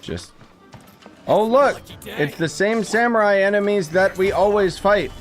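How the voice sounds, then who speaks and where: Guy streaming a game, sarcastic joking commentary, normal pitch, faint game music behind. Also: measured pace. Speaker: gaming streamer. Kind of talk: casual gameplay commentary.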